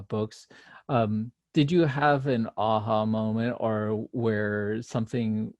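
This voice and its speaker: A man hosting a casual interview, phrasing his questions and comments tentatively, in halting pieces. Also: fairly low pitch, darkish tone, no echo, lo-fi sound.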